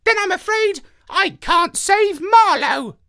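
A man voicing a clever, sharp-tongued male parrot who hacks computers, in a squawky, condescending put-on voice. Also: extremely high pitch, animated, slow, voice pushed up, exaggerated voice. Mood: smug. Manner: smug, condescending.

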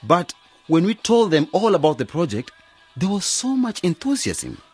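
An actor playing an earnest, optimistic young graduate who leads a village cooperative in a radio drama. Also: male voice, high voice, wildly swooping pitch, normal speed, breathy edge, faint music behind, exaggerated voice. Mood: emphatic. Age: young adult.

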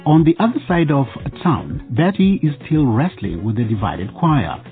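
A man's voice: medium pitch, very animated, normal speed, soft background music. A man narrating a radio drama from a script. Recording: tinny, phone-like narrow band.

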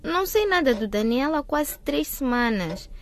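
A woman's voice: high voice, very animated, normal speed. An actress playing a young girlfriend in a radio soap, polite and hesitant yet firm. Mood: uneasy, reserved. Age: young adult. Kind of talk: radio soap opera acting.

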